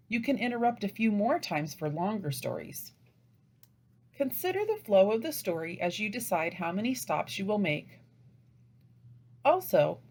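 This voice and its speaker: A woman delivering scripted instructional narration as a teacher trainer, with deliberate, didactic phrasing. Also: medium pitch, breathy edge.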